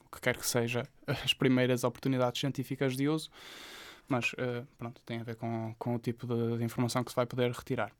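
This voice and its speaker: A man's voice: mid-range voice, smooth, no room sound. Portuguese male co-host, mid voice, dry booth sound, reads tech news loosely with asides. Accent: European Portuguese. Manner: reads news items with chatty asides and laughs. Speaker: podcast co-host. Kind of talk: casual tech news chat.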